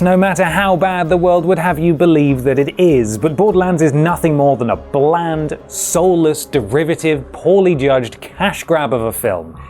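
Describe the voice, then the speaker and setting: A man's voice: a little high, a little mellow. A man delivering a scripted review verdict in a blunt, emphatic way. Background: faint background music.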